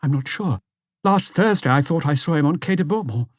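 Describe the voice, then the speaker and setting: A man's voice: fairly high pitch, expressive, a little fast, dry recording, clean studio sound, voice pushed up. A man voicing an anxious woman under questioning in a mystery audiobook, hesitant and defensive. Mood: flustered, defensive.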